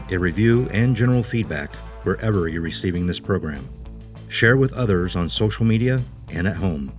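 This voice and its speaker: A man giving scripted narration as the narrator of an audio horror drama. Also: low-pitched. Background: music.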